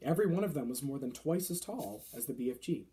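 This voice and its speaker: A man's voice: mid-range voice, lively intonation, fast talker, warm tone. A man reading a children's novel aloud as scripted narration. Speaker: storybook reader.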